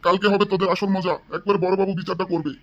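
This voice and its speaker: Man playing jealous old village husband, high voice, extremely quick talker, nagging, blustering, comic drama. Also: flat delivery, fairly bright tone, dull audio. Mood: accusing. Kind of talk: exaggerated Bengali comic village drama acting.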